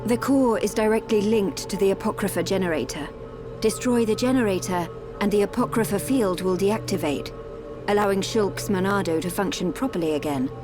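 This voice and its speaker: A woman's voice: medium pitch, fairly quick, natural voice. A woman playing an earnest, caring young heroine with urgency in a video game cutscene. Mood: explanatory, firm. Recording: game music behind the voice. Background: music.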